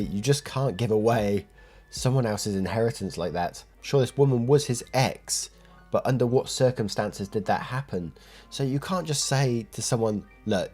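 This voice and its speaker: A man's voice: on the low side, darkish tone, slightly rough. A British man with a stuffy cold, chatting and reading online stories aloud as a channel host. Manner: friendly, chatty, thanks listeners. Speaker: story narrator. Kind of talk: casual reading of online posts.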